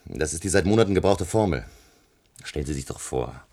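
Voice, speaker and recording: An actor playing a brusque, impatient army colonel in a German radio play, speaking emphatically and curtly. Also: male voice, rumbling low, expressive, a little fast, darkish tone, heavily acted. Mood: agitated, alarmed. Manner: emphatic, curt, swearing, commanding.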